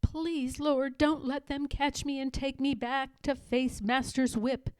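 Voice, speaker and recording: A woman's voice: high-pitched, little pitch movement, slow pace, a little mellow, no echo, clean studio sound, pitched above the performer's own voice. A woman voicing a frightened, devout enslaved woman who prays in a pleading, fervent way, as in a storybook.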